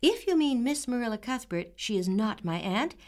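A woman's voice: fairly high pitch, very animated, normal speed, voice pushed up. A woman voicing a young girl who answers firmly and with dignity. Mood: dignified. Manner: firm, dignified.

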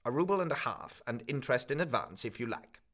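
A man's voice: medium pitch, animated, quick pace, fairly bright tone, voice pushed up, natural voice. A man voicing a withered, distrustful old woman pawnbroker who haggles curtly and talks down to her visitor. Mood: curt, haggling. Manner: curt, haggling, condescending.

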